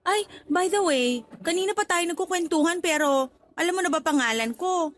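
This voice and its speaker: High-voiced bubbly young office woman. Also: normal speed, a little hiss or hum. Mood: cheerful, chatty. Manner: playful, expressive, exclaiming. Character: bubbly, chatty young female office trainee, friendly and forward. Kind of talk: Tagalog radio drama acting.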